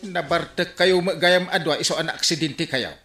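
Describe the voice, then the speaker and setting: Scolding village captain, exclamatory, comic radio drama, lively bright voice, roomy echo. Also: male voice, fairly high pitch, normal speed, soft background music. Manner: animated, exclamatory. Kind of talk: comedic radio drama acting.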